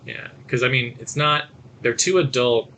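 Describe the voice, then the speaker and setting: A man's voice: medium pitch, normal speed, pushed and bright, low-quality recording. A man co-hosting a podcast, chatting casually and informally, with some background noise. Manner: informal, conversational. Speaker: podcast co-host reviewing movies and shows. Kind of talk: casual chat.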